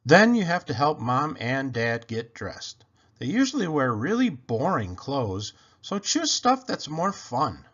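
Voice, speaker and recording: A man reading a children's picture book aloud in an expressive, playful way with animated emphasis. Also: medium pitch, measured pace. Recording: dull, lo-fi sound.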